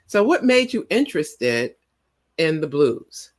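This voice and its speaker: A woman hosting a podcast, introducing and questioning her guest in casual chat with encouraging prompts and quick laughs. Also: deep voice, big pitch swings. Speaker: podcast host.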